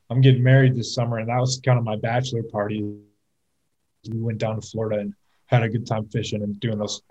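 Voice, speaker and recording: A young man talking casually as an interview guest, relaxed and rambling, with plenty of fillers and restarts. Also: lowish voice, dull audio. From Minnesota. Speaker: guest, pro football player.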